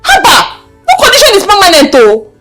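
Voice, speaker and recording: Casting: outspoken young village daughter, film drama. Female, Nigerian accent, high-pitched, scolding, repeats herself for emphasis. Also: wildly swooping pitch, fairly quick, heavily acted. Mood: upset, pleading. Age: young adult. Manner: insistent, repetitive, emphatic. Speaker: actor. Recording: background music under the dialogue.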